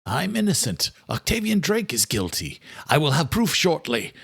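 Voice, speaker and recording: An accused vampire fugitive, voiced by a male narrator pleads innocence at a raised pitch. The delivery is terse and formal, in audiobook style. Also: wildly swooping pitch, normal speed, creaky, a bit bright, husky, exaggerated voice. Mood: insistent.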